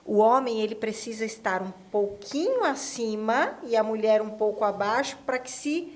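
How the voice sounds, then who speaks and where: Higher-pitched Brazilian woman hosting a podcast. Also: expressive, normal speed. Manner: emphatic, colloquial, seeks agreement with tags. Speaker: podcast host. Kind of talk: conversational podcast interview.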